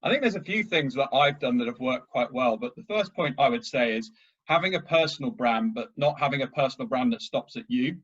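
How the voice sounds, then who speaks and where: A man's voice: medium pitch, animated, a little fast, breathy edge, muffled recording. A man, a recruitment leader, speaking as a guest on a webinar panel, joking at first and then explaining point by point. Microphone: video call.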